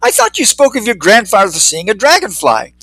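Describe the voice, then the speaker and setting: A man voicing a chatty, forgetful fly who rambles quickly. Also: high-pitched, huge pitch swings, creaky, pushed and bright, slightly rough, dry recording, faint music behind, pitched above the performer's own voice, exaggerated voice. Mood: rambling.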